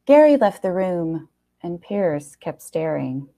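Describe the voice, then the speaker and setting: A woman tells a first-person story in a low, mellow voice with plenty of fry. Her reading is expressive and wry, and she leans on pauses for drama. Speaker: reader of a short story.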